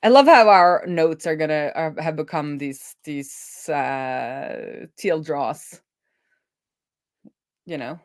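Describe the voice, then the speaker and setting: A woman hosting a casual coding stream as a web developer, talking informally and thinking out loud as she works. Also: low voice, slow, long pauses, no echo, studio quality.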